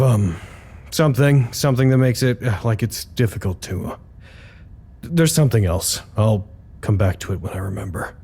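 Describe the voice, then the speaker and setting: A male communications chief at a secret research site, with a low, soft-edged, dark voice. He reports in a measured, official manner, as in a scripted audio drama. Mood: distracted, faltering. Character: weary male doctor, foundation communications head, dutiful and halting.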